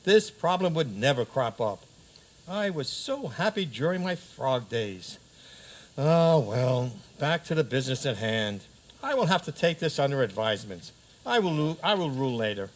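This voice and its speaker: A man's voice: medium pitch, big pitch swings, close to an everyday voice. An actor playing a pompous, impatient frog-turned-king who judges disputes in a comic radio play. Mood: brisk, pompous. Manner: pompous, brisk. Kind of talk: comic community radio theater.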